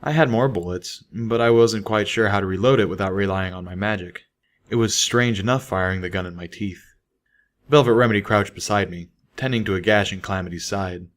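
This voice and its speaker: A man's voice: lowish voice, fairly quick. A man reading a scripted first-person story as an audiobook narrator. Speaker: audiobook reader.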